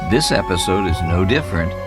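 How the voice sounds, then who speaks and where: Loud music bed, deep male announcer, animated intonation, deliberate scripted intro. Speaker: radio show announcer.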